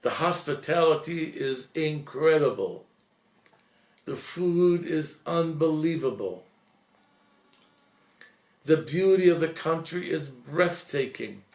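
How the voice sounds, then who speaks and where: A man, a priest, giving an earnest, reflective talk, slowing and softening at emotional points. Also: on the high side, expressive, roomy sound. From Lebanese heritage.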